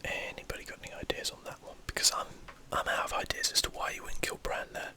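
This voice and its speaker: A person whispering ASMR game commentary in a breathy voice, hosting and musing aloud over each move. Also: fast, sharp tone. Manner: musing aloud, thinking through moves. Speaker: host of a gaming stream.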